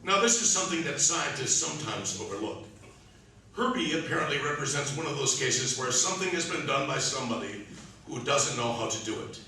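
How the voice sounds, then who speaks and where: A man's voice: medium pitch, sing-song, a little fast, sharp tone. A man playing a reflective commentator in a theatrical radio monologue. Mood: musing. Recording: echoey room, distant mic, faint noise.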